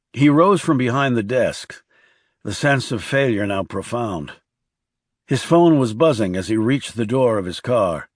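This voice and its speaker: Man narrating a thriller with animated pitch. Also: no echo, clean studio sound. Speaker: audiobook narrator. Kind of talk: scripted thriller audiobook narration.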